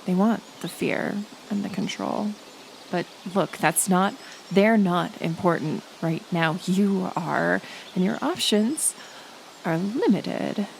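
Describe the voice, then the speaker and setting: A woman playing a kind, stubborn healer who lives in the woods, speaking haltingly and earnestly to the listener in a roleplay audio drama. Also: fairly low pitch, expressive, gravelly, exaggerated voice. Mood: indignant, insistent. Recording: outdoor nature ambience, insect noise. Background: forest ambience with insects.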